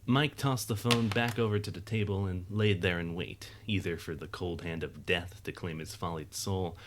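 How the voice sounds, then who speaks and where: A man reading scripted story narration for an audio drama in a measured, wry, literary manner. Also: low-pitched, fairly even intonation, noisy background.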